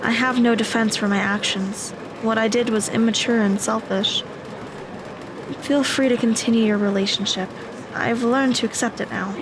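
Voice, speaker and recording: A woman playing an earnest, apologetic teenage high school girl in an audio drama. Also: fairly even intonation, normal speed, background noise, close to an everyday voice.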